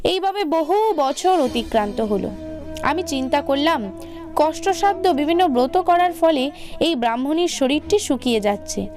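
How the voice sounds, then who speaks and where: High-pitched woman narrating a devotional story. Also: animated, moderate pace. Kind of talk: scripted religious narration for cartoon video. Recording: music under the voice. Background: background music.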